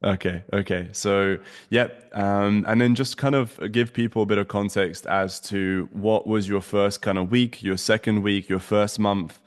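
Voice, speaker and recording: A man's voice: on the low side, fairly even intonation, measured pace, few pauses, smooth, big-room sound. A man hosting a casual interview, upbeat and promotional, asking long winding questions. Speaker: host, agency mentor.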